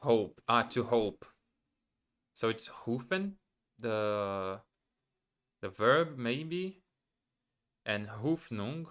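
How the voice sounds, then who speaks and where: Slow, bright-voiced man thinking aloud. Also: mid-range voice, expressive. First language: Portuguese. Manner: hesitant, self-correcting, muttering to himself. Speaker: language learner streaming live. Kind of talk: thinking aloud while studying.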